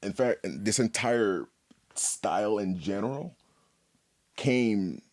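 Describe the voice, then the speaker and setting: A man from Haiti telling personal stories as an interview guest in a casual, candid, animated way, often checking in with "you know". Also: on the low side, slow, no echo. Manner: animated, repeats "you know what I mean". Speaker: podcast guest, artist.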